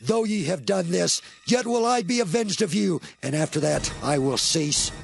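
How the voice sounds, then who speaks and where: A proud young strongman declaims with a gravelly, fairly high voice. He is defiant and challenging, as in a biblical audio drama. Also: lively intonation, moderate pace, soft background music. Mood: vengeful. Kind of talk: dramatized scripture acting.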